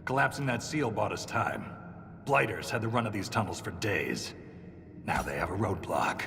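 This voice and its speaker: An actor playing a battle-weary dwarven lieutenant in a video game, with a dry, blunt, sardonic delivery. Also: male voice, on the low side, expressive, moderate pace, gravelly, background noise. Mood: wry. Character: wry, battle-weary male dwarven lieutenant, veteran soldier. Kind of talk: video game cutscene acting. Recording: long cavernous reverb, music underneath.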